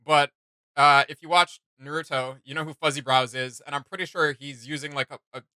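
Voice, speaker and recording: A man with a very bright, slightly high voice hosts a reaction video. He rambles along in casual, enthusiastic fan talk, recorded dry and close. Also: fairly even intonation, a little fast, clean tone, no room sound. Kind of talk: casual chat.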